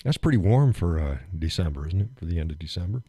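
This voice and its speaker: A man hosting a live stream, chatting casually and rambling with fillers and chuckles, phlegmy and clearing his throat. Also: deep voice, lively intonation, fast, warm tone, no room sound. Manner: rambling, chuckling, thinks aloud with many fillers. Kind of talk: casual chat reading viewer comments.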